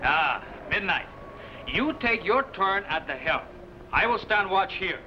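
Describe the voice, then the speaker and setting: A man's voice: high-pitched, very animated, unhurried. An actor playing a stern, suspicious Spanish sailor who commands his fellow plotters in an old adventure serial. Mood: conspiratorial. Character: stern conspiring Spanish sailor, leader of mutineers. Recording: muffled old soundtrack, heavy background noise.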